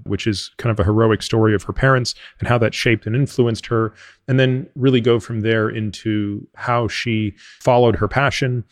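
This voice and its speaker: A man with a fairly low voice hosts an interview podcast. His delivery is measured and articulate, and the sound is dry, with little room around it. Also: no room sound.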